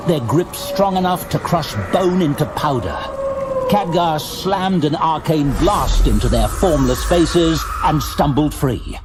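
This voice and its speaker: A man giving a dramatic scripted narration of a fantasy audio drama. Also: a little high. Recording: loud dramatic music under the voice. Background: music.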